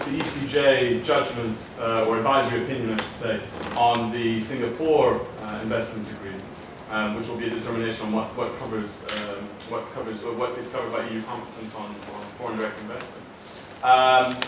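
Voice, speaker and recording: Brief: booming-voiced questioner from the floor, rapid and halting, caught far off in an echoey hall with clear noise. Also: male voice. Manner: booming, hesitant, lots of fillers. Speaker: audience member asking a question. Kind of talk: conference question. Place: conference hall. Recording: echoey hall, distant mic, background noise.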